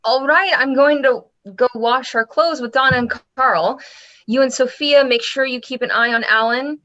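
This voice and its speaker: A woman's voice: high-pitched, moderate pace, sharp tone, highs cut off. An actress playing a protective, worn wife and mother in a survivor camp, read as a drama table read. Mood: motherly, firm.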